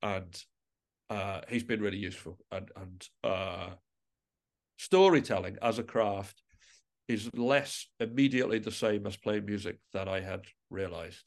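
A man's voice: on the low side, unhurried. A man being interviewed on a podcast, talking thoughtfully and feeling his way through sentences with repeated restarts. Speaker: interview guest, musician and composer.

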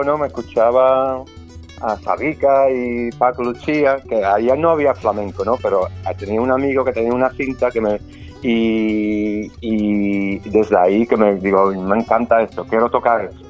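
A man from Scotland, with English as his first language, speaks Spanish in a halting way, full of restarts and fillers. His voice sits at an ordinary middle pitch for a man. Soft guitar music plays quietly behind him. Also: steady tone. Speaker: guest flamenco guitarist. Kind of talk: casual interview.

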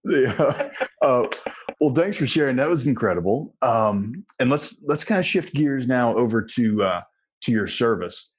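A man's voice: mid-range voice, expressive, a little slow, husky. A man hosting a podcast interview in a casual, bantering way with loosely phrased questions.

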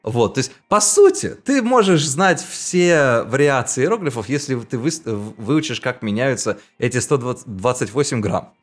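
A man's voice: medium pitch, very animated, moderate pace, hardly any pauses. A young adult man hosting a podcast, chatting casually and explaining with animation. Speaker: podcast host. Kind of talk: casual chat.

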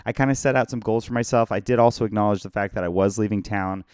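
Man, hoarse and worn out, podcast host, rambling casual chat, lowish voice, dry close sound. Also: fast talker, darkish tone, dry recording. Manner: rambling, chatty, thanks viewers by name. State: tired. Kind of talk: casual live-stream chat. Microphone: phone.

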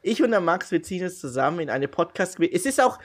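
A man co-hosting a casual podcast, talking in a lively way, laughing often and speaking straight to the listeners. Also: sits high, quick pace. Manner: animated, laughing, addresses listeners. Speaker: podcast co-host. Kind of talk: casual chat. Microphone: home podcast mic.

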